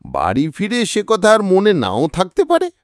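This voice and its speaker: A man's voice: high-pitched, wildly swooping pitch, moderate pace, no echo, studio quality, pitched above the performer's own voice. A man voicing a shrewd, kindly, thoughtful private detective who reasons aloud in an audio story. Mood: pensive, concerned.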